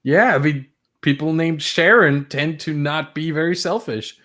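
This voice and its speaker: Slow-talking German man cracking jokes. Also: a little high, a bit bright. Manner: joking, punning. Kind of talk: casual chat.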